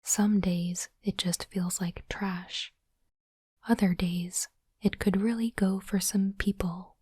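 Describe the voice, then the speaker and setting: A woman doing ASMR in a soft, breathy whisper, speaking in a hushed, deliberate way as she hosts an unboxing. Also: mid-range voice, fairly even intonation, dry recording, clean studio sound. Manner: slow, deliberate, hushed delivery. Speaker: host of ASMR card unboxing channel. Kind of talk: ASMR whispering, close and gentle narration.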